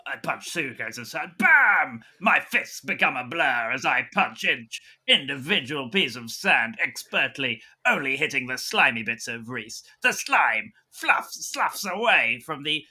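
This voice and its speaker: Man playing a bright, forceful melodramatic action hero. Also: a little high, slightly rough, full character voice. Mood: dramatic, triumphant. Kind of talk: improvised audio comedy roleplay.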